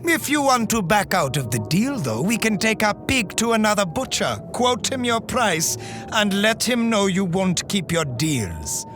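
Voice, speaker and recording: A man playing a wary, sharp-tongued companion. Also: sits high, very animated, measured pace, music in the background. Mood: shrewd. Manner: dry, cautious, needling. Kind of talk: audio drama acting.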